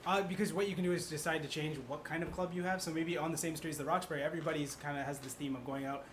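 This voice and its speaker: A man with a bright, forceful voice talks very quickly as a panelist. He pitches ideas casually and eagerly, over faint background noise. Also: steady tone.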